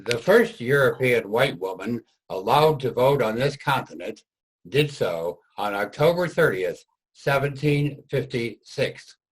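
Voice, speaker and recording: A man giving scripted historical narration in a formal, measured way. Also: mid-range voice, expressive, breathy edge, rough recording.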